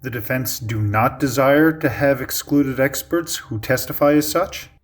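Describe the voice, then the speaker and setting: A presiding judge, read by a man who puts short procedural questions to counsel. His voice sits at a mid pitch. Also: a little slow, natural voice. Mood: formal. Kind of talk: dramatic reading of a trial transcript.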